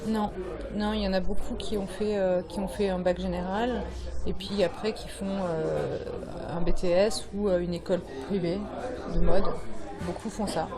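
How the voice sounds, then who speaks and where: A woman in her thirties from Brittany, a fashion designer guest, talking casually and haltingly with many fillers and restarts. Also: medium pitch, steady tone. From France, Brittany. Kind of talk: casual interview talk. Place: bar evening event with crowd. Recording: crowd chatter behind.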